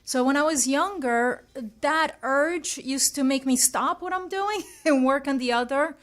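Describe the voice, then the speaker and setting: A woman's voice: high voice, measured pace, a bit bright. A woman from Switzerland, a guest artist, chatting casually in an interview and thinking aloud as she answers.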